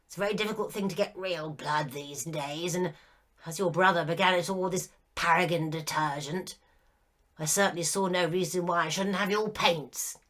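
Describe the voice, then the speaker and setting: A woman's voice: on the low side, moderate pace, fairly bright tone. A woman reading a story aloud, voicing a petulant, lonely old male ghost who turns meek and weary.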